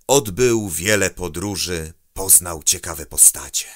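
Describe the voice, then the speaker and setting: Male announcer for a kids' storybook, lower pitch, very unhurried, sing-song rhyming lead-in, dry studio sound. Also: dry recording. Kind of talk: rhymed intro and outro announcing.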